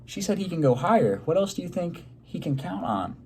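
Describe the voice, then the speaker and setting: A man reading a children's story aloud expressively, pausing to put questions to his listeners. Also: sits high, big pitch swings, darkish tone, a little hiss or hum.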